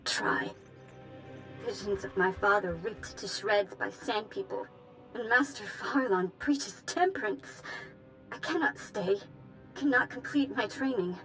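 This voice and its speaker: An actress playing an anguished, vengeful young warrior apprentice in a video game, intense and dramatic. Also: female voice, mid-range voice, rough recording. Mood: strained grief. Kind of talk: video game character monologue. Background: music.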